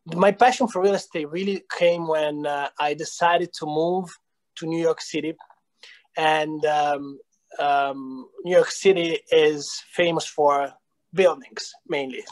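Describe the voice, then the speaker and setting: A man's voice: on the high side, fairly even intonation, takes it slowly. A man in his thirties with an Italian accent, talking hesitantly with many fillers in a video call interview. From Italy. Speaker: real estate company founder. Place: small room indoors.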